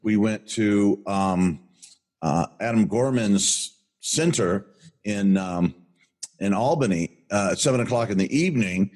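An older man with a booming voice, co-hosting a podcast and telling a story in an enthusiastic, rambling way with many restarts. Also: unhurried, a little mellow. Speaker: podcast co-host. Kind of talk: casual rambling chat.

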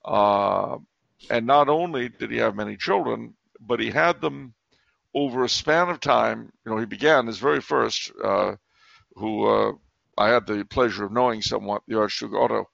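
A man's voice: fairly low pitch, moderate pace, creaky, fairly bright tone, no room sound, lo-fi sound. A man speaking as an author guest in a casual interview, hesitant, with many fillers and restarts.

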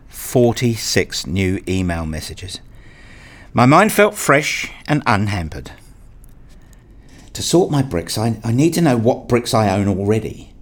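A man with a fairly low voice narrates a radio drama in the first person. His delivery is measured and understated, with a literary feel. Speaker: narrator and lead character, a father.

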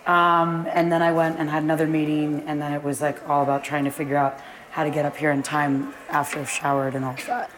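A woman with a notably low, husky voice, talking as a guest before a live audience in an event space. She speaks in long, animated runs and laughs easily. Faint room noise sits under her voice. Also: fairly quick, rough recording. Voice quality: deep husky voice. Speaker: guest, fitness class founder. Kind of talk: live onstage conversation.

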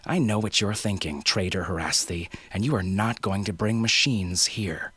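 A man's voice: fairly low pitch, moderate pace, husky, dry recording. A man voicing a tense, commanding male expedition leader. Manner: sharp, commanding.

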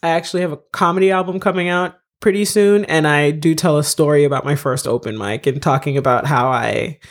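Thirties woman, comedian guest, relaxed storyteller who laughs through her answers. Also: low voice, brisk. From Haiti. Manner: chatty storytelling, laughs often. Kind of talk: casual podcast interview.

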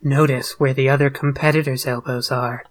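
A woman's voice: very deep voice, steady tone, normal speed. A woman co-host reading forum posts aloud in a mock-serious tone during a casual comedic chat.

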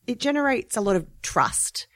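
A woman's voice: on the high side, expressive, normal speed, fairly bright tone, no echo, studio quality. A woman hosting a podcast, asking her guests curious, admiring questions in a casual chat. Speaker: podcast host.

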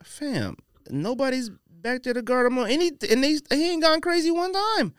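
A man's voice: very high voice, expressive, normal speed, fairly bright tone, clean tone, no room sound, clean studio sound. A man hosting a casual sports podcast, debating picks in an emphatic, argumentative, slangy way.

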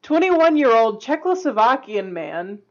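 Woman, giggly, retelling a weird news story, fairly high voice, muffled recording. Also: big pitch swings, unhurried. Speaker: podcast co-host. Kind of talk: casual chat, reading a news story aloud.

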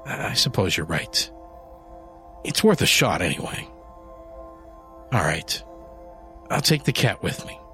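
A man voicing a patient, gentle husband in a read-aloud story. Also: deep voice, very animated, slow, vocal fry, long pauses, soft background music, full character voice. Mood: calm.

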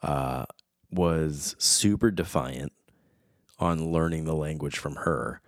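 Very deep-voiced, slow-talking man. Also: no room sound, clean studio sound. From United States. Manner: rambling, reflective, many fillers and restarts. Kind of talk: casual chat.

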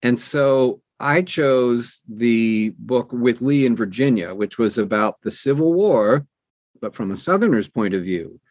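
A middle-aged or older man with a medium-pitched voice speaks as a guest in an interview. He tells anecdotes in a casual, conversational way and acts out what people said. The recording is dry, with little room sound. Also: lively intonation, unhurried, darkish tone, no echo. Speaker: interview guest, audiobook narrator.

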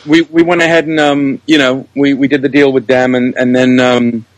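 A man telling his story casually as an interview guest, often halting to search for words. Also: mid-range voice, little pitch movement, dry recording, rough recording. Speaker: interview guest, comedy producer.

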